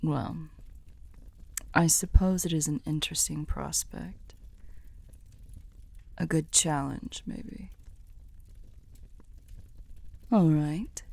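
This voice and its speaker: A woman voicing a courtly, tender female demon weary of evil, speaking softly and formally, half whispering, in an ASMR roleplay. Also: sits low, fairly even intonation, dry recording, heavily acted. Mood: amused, fond. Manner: slow, soft, breathy half-whispered formal speech.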